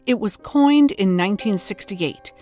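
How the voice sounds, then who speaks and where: A woman's voice: mid-range voice, expressive, a little slow, a little mellow, soft background music, natural voice. A woman playing a wry, skeptical investigative host, narrating a scripted mock documentary podcast. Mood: curious.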